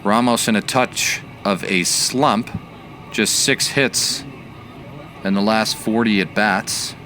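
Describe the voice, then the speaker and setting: A man giving baseball play-by-play commentary as the announcer, measured and analytical in his delivery. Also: on the low side, bright, forceful tone, slight background noise.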